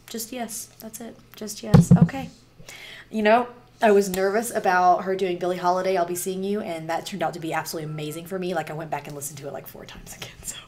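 A woman in her thirties with a raspy voice, chatting casually and rambling to camera about a song she is reacting to, recorded on a webcam in a small home room. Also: on the low side, a little mellow, a little hiss or hum. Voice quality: raspy voice from singer's nodules. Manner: chatty and rambling, many fillers. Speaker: music reaction vlogger. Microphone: webcam, wearing headphones.

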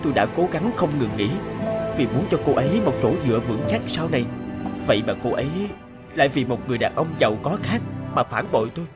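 A man's voice: medium pitch, expressive, fast, lo-fi sound, natural voice. A man voicing a young man in a cartoon, heartfelt and wounded as he talks about his past. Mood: wistful. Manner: heartfelt, emotional. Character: young man, wounded, brooding successful ex-boyfriend. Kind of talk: cartoon voice acting. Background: background music.

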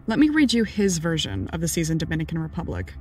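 An actor playing a sardonic podcast sidekick and investigator in an audio drama, with a dry delivery. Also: male voice, on the high side, huge pitch swings, fast talker, faint music behind.

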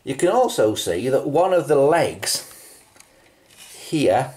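Slow, lively-pitched British man. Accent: British English. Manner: musing, asks rhetorical questions. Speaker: presenter of a vintage telephone video. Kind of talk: unscripted hands-on show-and-tell commentary.

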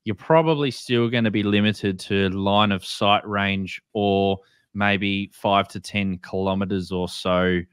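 A man's voice: lowish voice, steady tone, a little slow, clean tone, no room sound, clean studio sound. An Australian man in his thirties hosting a casual livestream explainer in an informal, instructive way. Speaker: host of a ham radio show. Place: small indoor room, video call.

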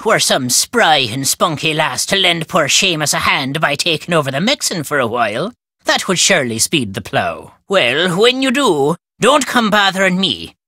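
An actor playing a crotchety, superstitious old Irish caretaker in a video game, telling tales in a folksy, ominous, emphatic way. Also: male voice, on the high side, pushed and bright, no room sound, studio quality, full character voice. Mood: gruff, bantering. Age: older. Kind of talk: theatrical storytelling game dialogue.

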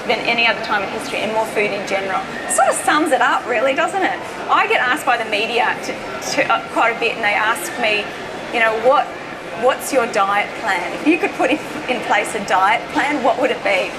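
High-voiced Australian woman presenting over loud noise. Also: lively intonation, a little fast, crowd noise. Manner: emphatic, conversational. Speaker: health educator giving a public talk. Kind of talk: informal seminar presentation. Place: indoor presentation stage at an expo.

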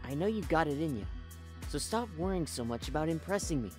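A woman's voice: low-pitched, expressive, fairly quick, over music. A woman voicing a cocky, exasperated young male cartoon hedgehog hero with blunt, slangy delivery. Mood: gruffly encouraging. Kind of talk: cartoon comic dub acting.

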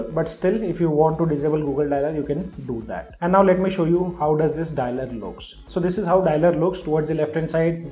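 A man's voice: a little high, dark tone, reverberant. A man hosting a tech channel, talking through a phone app demonstration in a tutorial voice-over. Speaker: tech video host. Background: background music.

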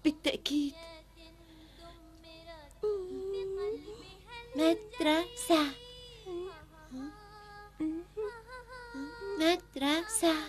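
A devoted young female teacher in a cartoon drama. Her voice sits extremely high. She speaks with earnest, tender expressiveness. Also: expressive, measured pace, music in the background. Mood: gentle, serious. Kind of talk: dubbed cartoon drama acting.

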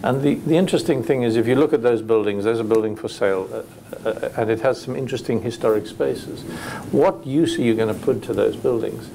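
A man's voice: medium pitch, brisk, gravelly, mellow, slight background noise, poor audio. A man speaking as a panelist at a hotel industry conference, thoughtful and hesitant with many restarts.

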